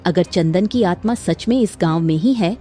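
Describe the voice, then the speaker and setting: A woman's voice: fairly low pitch, normal speed, mellow, faint music behind. A woman reading a scripted moral story as a dramatic narrator.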